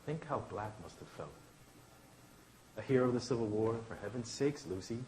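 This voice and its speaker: A man's voice: mid-range voice, moderate pace, a little mellow, a little hiss or hum, close to an everyday voice, poor audio. A man reading the part of an earnest, idealistic lawyer in a staged play, with a declamatory, oratorical delivery. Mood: earnest, defensive. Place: indoor launch event venue.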